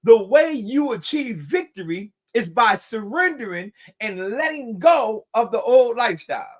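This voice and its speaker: A man's voice: extremely high pitch, big pitch swings, a little slow. A middle-aged man leading a recovery group, giving a motivational talk that repeats phrases for emphasis and calls the listeners y'all.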